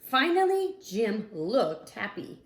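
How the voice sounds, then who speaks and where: Slow, expressive woman reading to children. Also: fairly high pitch, slight background noise. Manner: expressive, deliberate, addressing young children. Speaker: school instructional coach reading aloud. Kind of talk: children's storybook read-aloud. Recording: roomy, echoey sound.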